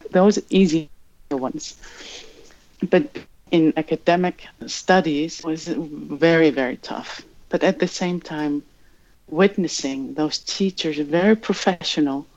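A woman from Greenland with a Greenlandic accent, speaking English as her third language in a reflective interview, pausing and searching for words. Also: sits low, a little mellow, lo-fi sound. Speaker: interview guest.

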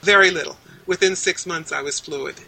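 A woman in her sixties, answering interview questions over a video call in a measured, articulate way, listing details one after another. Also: fairly low pitch, creaky, sharp tone, breathy edge, low-quality recording. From United States.

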